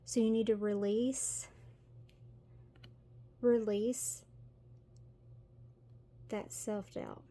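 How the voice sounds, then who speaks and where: A woman's voice: mid-range voice, level tone, extremely slow, pauses often, mellow. A middle-aged woman with a Tennessee Southern accent, chatting her way through a tarot reading with lots of fillers and giggles. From Tennessee, USA. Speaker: tarot reader. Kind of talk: casual tarot reading to camera.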